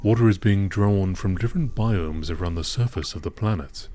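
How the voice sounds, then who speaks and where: A man reading scripted scientific narration in a nature documentary style, measured, clinical and matter-of-fact. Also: deep voice, warm tone. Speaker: narrator reading game lore entries.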